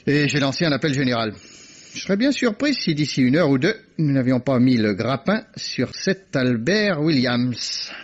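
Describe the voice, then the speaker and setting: An actor playing a shrewd, self-assured police inspector in a vintage French radio drama, teasing and chuckling. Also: male voice, mid-range voice, very animated, normal speed, lightly acted. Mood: confident. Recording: dull old radio archive recording.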